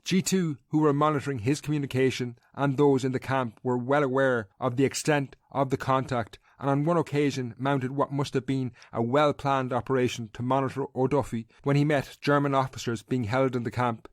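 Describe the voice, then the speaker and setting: A man's voice: medium pitch, fairly even intonation, a little fast, hardly any pauses, dry recording, studio quality. A man with an Irish accent narrating a scripted history podcast as its host.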